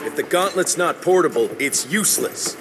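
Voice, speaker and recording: An actor playing a gruff vampire-hunting cowboy in a video game, blunt and folksy, with dry sarcasm. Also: male voice, a little high, lively intonation, moderate pace, background noise, lightly acted. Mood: impatient. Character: gruff, sardonic vampire-hunting cowboy field agent, adult male. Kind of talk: video game cutscene acting.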